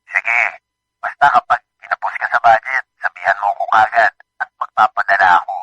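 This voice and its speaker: An actor playing a steady, reassuring, responsible boyfriend working abroad, in a Filipino radio drama with a Filipino accent. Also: male voice, lowish voice, a little fast, no room sound, poor audio. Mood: caring, reassuring. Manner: reassuring, considerate. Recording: studio drama over clear background music.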